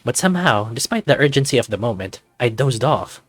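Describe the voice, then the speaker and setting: A man's voice: medium pitch, sing-song, fairly quick, no room sound, faint music behind. A man reading scripted first-person story narration for a visual novel.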